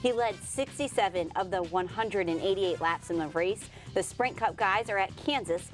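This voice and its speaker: A woman's voice: mid-range voice, normal speed. Female sports anchor over music. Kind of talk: scripted sportscast narration.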